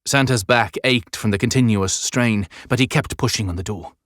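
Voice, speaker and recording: A man's voice: medium pitch, normal speed, fairly bright tone, no room sound, clean studio sound. A man reading dramatic scripted narration as an audiobook narrator. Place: dry recording studio.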